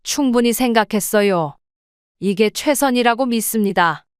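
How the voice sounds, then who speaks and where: A woman plays a steady mother in her seventies who has made up her mind, her voice smooth and clear and pitched a touch high. It is a dry, close audio drama recording with no room sound. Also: moderate pace, no echo, close to an everyday voice. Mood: firm. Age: 70s. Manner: plain, steady and resolute.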